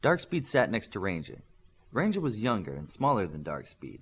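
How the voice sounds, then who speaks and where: A man's voice: on the low side, wildly swooping pitch, moderate pace, a bit bright, a little hiss or hum. A man reading a scripted fiction story as an audiobook narrator.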